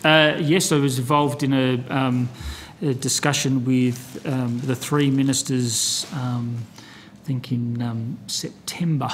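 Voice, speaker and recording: Careful, even-toned man testifying. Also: medium pitch, slow, slight background noise. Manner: careful, hesitant, deferential. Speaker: official answering at a hearing. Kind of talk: formal testimony. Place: committee hearing room.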